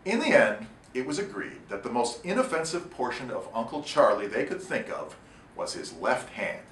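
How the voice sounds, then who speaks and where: A man reading his own short story aloud in an expressive, wry and deliberate storytelling manner. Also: mid-range voice. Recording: echoey room, distant mic, faint noise.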